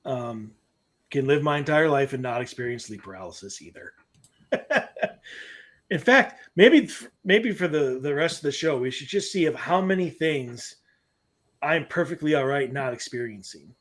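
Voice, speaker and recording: A man's voice: medium pitch, expressive, fairly bright tone. A man co-hosting a casual paranormal podcast from home over a video call. He is playful, laughs easily, and reads listener stories aloud. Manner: playful, quick to laugh, drifts into a sing-song voice. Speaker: podcast co-host. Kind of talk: casual chat, reading a listener story aloud. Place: room at home. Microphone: webcam call from home.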